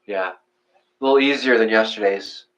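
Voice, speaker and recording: A man hosting in casual, rambling chat, often restarting and restating his thoughts in a halting way. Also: on the low side, fairly bright tone. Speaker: host of live guided meditation sessions. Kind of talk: casual chat with viewers and co-host. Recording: dull, muffled home livestream audio.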